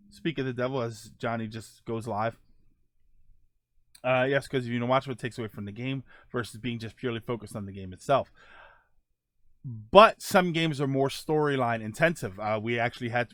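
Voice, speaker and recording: A man's voice: on the high side, fairly bright tone, dry recording, clean studio sound. A man hosting a casual live stream, thinking aloud while reading viewer comments, often restarting his sentences. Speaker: streamer host reading chat.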